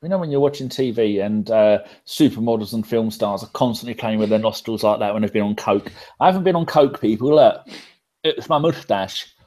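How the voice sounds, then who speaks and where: A man's voice: fairly low pitch, fairly quick, darkish tone. A middle-aged man hosting a football podcast, chatting in a jokey, rambling, sweary way over a video call. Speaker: podcast host. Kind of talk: casual football banter. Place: home room.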